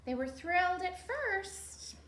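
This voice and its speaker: Woman, children's storytime reader, high and very unhurried, playful and expressive, slightly noisy recording. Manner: expressive, playful, with gasps and asides. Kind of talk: animated children's picture-book read-aloud. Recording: roomy echo, faint background noise.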